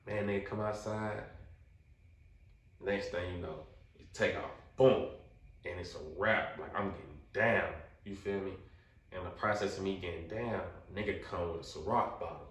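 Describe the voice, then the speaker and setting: A young man spins a story in loose, slangy talk. He jumps into other people's voices when he quotes them. His voice sits a little low and comes from a distance in a roomy, echoing space. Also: normal speed. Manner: animated, slangy, acts out quotes in a high voice. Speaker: podcast guest. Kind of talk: casual storytelling. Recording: roomy echo, distant mic.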